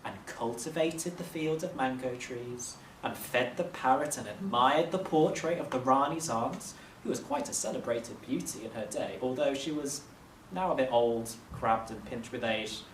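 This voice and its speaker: A man narrating a children's story expressively, like a teacher reading aloud, with measured dramatic phrasing. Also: mid-range voice. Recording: roomy, distant mic, faint noise.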